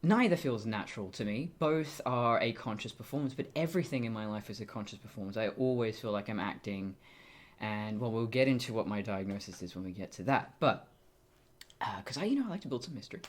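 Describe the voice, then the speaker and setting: A young woman with a deep voice for a woman, talking casually and candidly to camera in a wry, self-interrupting way. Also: expressive, fairly quick. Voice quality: deep voice for a woman, deepened by testosterone. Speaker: vlogger. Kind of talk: casual personal monologue to camera.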